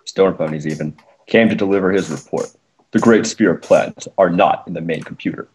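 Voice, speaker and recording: Deep-voiced man reading fan fiction. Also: normal speed, darkish tone. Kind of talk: dramatic amateur narration with casual asides. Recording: noisy amateur mic with clicks.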